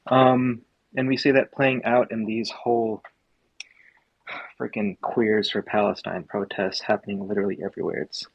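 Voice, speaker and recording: Over a dull, low-fidelity mic with a dry, close sound, a young American man from Indiana speaks earnestly and emphatically. He often pauses on filler ums while he builds his points. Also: level tone, gravelly, husky, dry recording. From Indiana, USA. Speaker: speaker in a live audio space. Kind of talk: impassioned political commentary.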